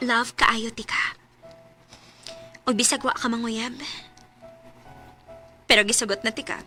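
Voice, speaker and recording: A tender young woman of radio drama, with a high, bright voice. She sounds earnest and steadfast over background music. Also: fairly even intonation, measured pace. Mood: loving. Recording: music behind the voice.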